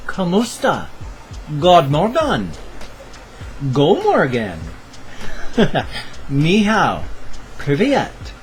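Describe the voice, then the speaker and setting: A man's voice: fairly high pitch, low-quality recording. A man in his fifties rambling through a casual daily vlog at home, with jokey asides, recorded on a webcam. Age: 50s. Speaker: vlogger.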